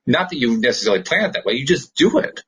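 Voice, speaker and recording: Male podcast host, very raspy and lowish, with lively, swooping intonation and an enthusiastic, conversational delivery. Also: quick pace. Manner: upbeat, enthusiastic.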